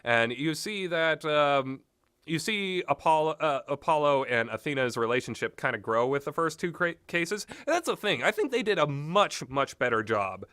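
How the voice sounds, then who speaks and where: A man giving informal, rambling opinionated commentary as a game reviewer, haltingly and often repeating himself mid-sentence. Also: a little high, very animated, bright, forceful tone, dry recording, clean studio sound.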